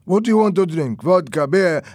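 A man's voice: high voice, expressive, moderate pace, a little mellow, no room sound, clean studio sound, voice pushed up. A man voicing a pushy foreign gangster businessman with a strong accent and brusque broken English.

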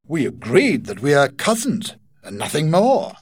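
A man's voice: a little high, wildly swooping pitch, a little slow, breathy edge, dry recording, studio quality, voice pushed up, full character voice. A man voicing a heartbroken, earnest young woman in a spoken storybook, pleading and tearful. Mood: firm.

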